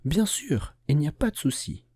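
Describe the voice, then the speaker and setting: A man's voice: fairly high pitch, big pitch swings, normal speed, mellow, husky, no echo, studio quality, voice pushed up. A man voicing a young bear cub, a bossy and easily annoyed big brother in a children's storybook. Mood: confident.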